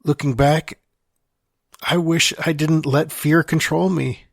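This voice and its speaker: A man as a wry dying man, lighter-pitched. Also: fairly even intonation, slow, vocal fry, no echo, clean studio sound, heavily acted. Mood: wistful regret. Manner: wry, confessional, sighing. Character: wry dying man reading out his farewell letter. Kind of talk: dramatic monologue in fiction podcast.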